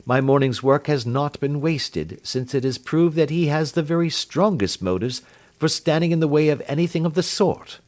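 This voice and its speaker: A man voicing a calm, amused detective who speaks quietly and imperturbably. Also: fairly high pitch, moderate pace, voice pushed up, lightly acted. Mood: explaining.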